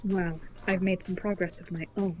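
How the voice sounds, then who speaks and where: A woman's voice: lowish voice, steady tone, moderate pace, over music. A woman in a group game playthrough, chatting casually and reading character lines aloud. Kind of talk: casual chat and dialogue read-aloud.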